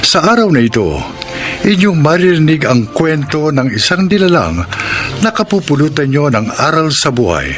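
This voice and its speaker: A man's voice: fairly high pitch, sing-song, normal speed. A man reading scripted narration as the announcer of a radio drama. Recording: music bed under the voice. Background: music.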